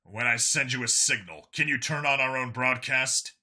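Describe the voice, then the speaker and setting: A man's voice: medium pitch, moderate pace, pushed and bright. A man voicing a terse, weary human detective in a sci-fi story reading. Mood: resigned, focused. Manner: terse, grumbling. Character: Vincent, gruff weary human detective on dangerous missions.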